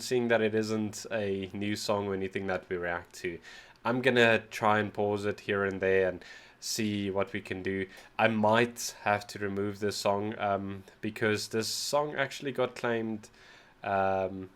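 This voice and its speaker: A South African man whose first language is Afrikaans, slightly sick, chatting casually and rambling as the host of a music reaction channel. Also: fairly low pitch. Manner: rambling, self-deprecating, often restarts words. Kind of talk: casual reaction video chat.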